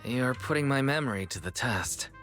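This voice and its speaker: A man voicing an easygoing, curious young man in a video game cutscene, relaxed and low-key. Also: normal speed, faint music behind, lightly acted.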